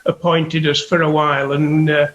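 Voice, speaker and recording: A British man, a guest investigator, reminiscing in a halting, easygoing way during a casual interview. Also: a little high, level tone, fairly bright tone. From United Kingdom. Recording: echoey room, dull lo-fi sound.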